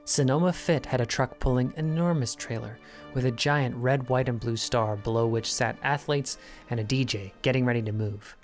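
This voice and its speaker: A man giving smooth scripted narration that links the interviews of a local podcast. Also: medium pitch, moderate pace. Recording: music underneath.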